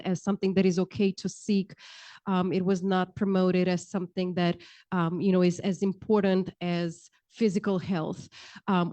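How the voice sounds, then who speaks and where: A woman's voice: lowish voice, warm tone. A woman, speaking earnestly on a panel with frequent ums into a handheld mic. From Romania. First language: Romanian. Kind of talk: panel discussion talk. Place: large indoor event hall, seated at panel table.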